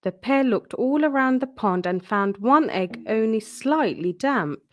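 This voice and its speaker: A woman reading a children's storybook aloud in an expressive, deliberate storytelling manner. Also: a little high, highs cut off.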